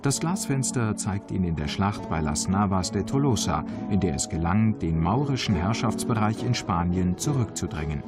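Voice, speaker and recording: Low-voiced male documentary narrator. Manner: calm, measured, informative. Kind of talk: scripted travel documentary narration. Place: voice-over studio. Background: background music.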